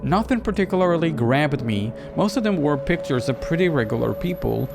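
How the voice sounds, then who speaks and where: A wary young woman in a horror game, read by a man in a fairly high voice. She tells her story plainly and frankly, with a slightly sardonic edge. Music sits clearly behind the words. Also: lively intonation, moderate pace. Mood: reflective.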